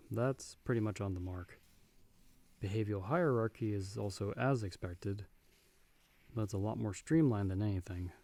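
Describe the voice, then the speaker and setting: Man acting as seasoned magical monster hunter, ASMR roleplay, deep mellow voice, measured, dry-witted, reassuring, no room echo. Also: natural voice. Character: calm, seasoned male monster hunter with magic, guiding a partner. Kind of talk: ASMR audio roleplay acting, speaking to the listener.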